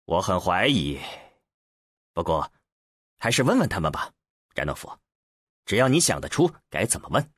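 Man voicing a brusque, husky space explorer. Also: deep voice, big pitch swings, moderate pace, pauses often, a bit bright, no room sound, studio quality, voice pushed down, exaggerated voice. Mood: sardonic, dismissive. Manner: skeptical, commanding, sardonic. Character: brusque, impatient, sardonic male space explorer. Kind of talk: acted audiobook dialogue.

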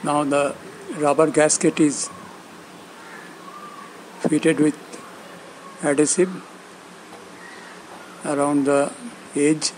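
A man narrating instructions step by step, speaking in short, halting phrases with pauses between them. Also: fairly high pitch, little pitch movement, a little mellow, a little hiss or hum, rough recording. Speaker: instructor demonstrating loudspeaker assembly.

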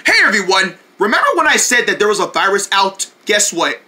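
A newscaster figure voiced by a performer in a homemade toy play, delivering an announcement in a relaxed, chatty way. The voice is bright and clear, with lively rises and falls. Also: mid-range voice, fairly quick.

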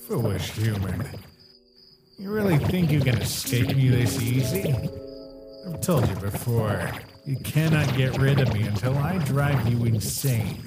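A man's voice: mid-range voice, animated, a little slow, echoey room, close to an everyday voice, low-quality recording. A man voicing a sinister, boastful skeleton monster in a comic dub, theatrical and smug. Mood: haughty. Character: sinister, boastful male skeleton monster who feeds on fear. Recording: loud music behind the voice.

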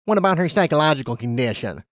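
A man's voice: a little high, sing-song, quick pace, fairly bright tone, no room sound, clean studio sound, pitched above the performer's own voice. A man voicing a weary, reluctant female personnel director pony in an acted audiobook, curt and exasperated.

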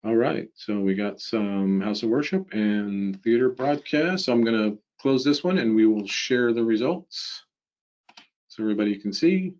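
A man's voice: lowish voice, animated, normal speed, a little mellow. A man hosting a webinar in a casual presenting style, heard over a video call. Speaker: webinar host. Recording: dull, lo-fi computer audio.

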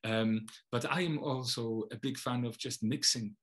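A man's voice: mid-range voice, normal speed, fairly bright tone. A man in his thirties with a Polish accent, a poet talking casually to a webcam from his home study, thoughtful and full of hesitations and restarts. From Poland. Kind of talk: casual talk to camera. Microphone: webcam, video call framing.

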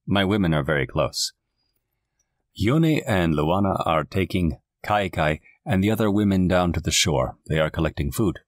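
Man as earnest island chief, low voice. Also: animated, moderate pace, no room sound, studio quality. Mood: tender.

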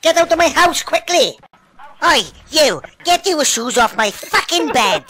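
A man's voice: extremely high pitch, expressive, unhurried, sharp tone. A Welsh man in online game voice chat, scolding other players in an indignant, sweary rant. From Wales. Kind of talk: comedic in-game trolling rant.

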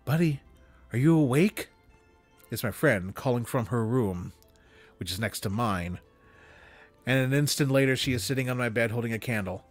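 A young man reading a literary story aloud in an expressive storytelling style, close to a desk microphone. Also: mid-range voice, moderate pace, faint music behind. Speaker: reader. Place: small room at home, indoors.

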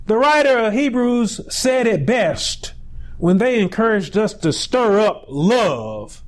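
A pastor in his forties preaching a sermon in a small indoor room on a lapel mic, building to shouted peaks between slow, drawn-out phrases. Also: high-pitched, very animated.

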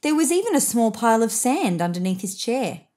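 Expressive woman reading a children's storybook. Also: on the high side, a little fast. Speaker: picture book reader.

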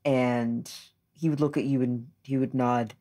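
A man's voice: medium pitch, level tone, a little slow, mellow. A man playing a tabletop roleplaying game, casually narrating what his character does.

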